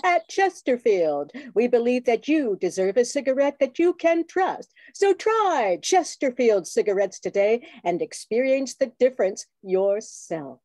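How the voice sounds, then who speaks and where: A woman's voice: fairly high pitch, very animated, a little slow, hardly any pauses, darkish tone, no room sound. A woman playing a dramatic, salesy cigarette announcer with a bitter streak in an old-time radio commercial. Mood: upbeat selling. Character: smooth cigarette ad announcer woman, wistfully bitter about exes. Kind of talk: 1940s radio commercial acting.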